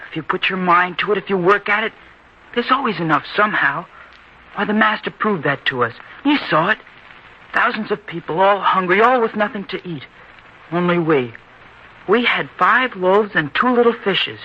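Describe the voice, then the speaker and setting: An actor playing an earnest, stubborn young son in an old-time radio drama, speaking in an eager, theatrical way. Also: male voice, sits high, expressive, moderate pace. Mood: stubborn, insistent. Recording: dull, noisy old-time radio recording.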